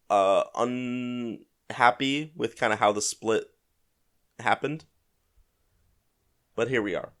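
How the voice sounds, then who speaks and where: A man with a fairly low voice, a little stuffed up with a head cold. He talks casually as a solo podcast host commenting on a video game, working through his opinions aloud and often softening them. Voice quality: slightly congested, stuffy. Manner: hedging, conversational, thinking aloud.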